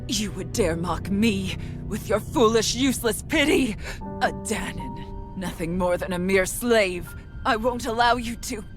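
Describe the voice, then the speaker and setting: Actress as a haughty game warrior lady, cold n scornful, formal wording, mid pitch, music behind. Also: measured pace, natural voice. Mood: scornful outrage. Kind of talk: video game cutscene acting.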